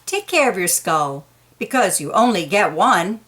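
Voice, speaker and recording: A woman reads aloud as if from a picture book for young children. Her pace is slow and deliberate, and her medium-pitched voice rises and falls in a lively way. She leans on key words with playful emphasis. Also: a little hiss or hum.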